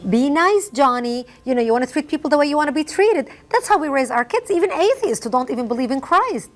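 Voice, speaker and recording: A woman's voice: sits high, big pitch swings, fast talker. A middle-aged woman from Lebanon whose first language is Arabic, speaking emphatically as an interview guest and repeating phrases for effect. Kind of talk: impassioned testimony.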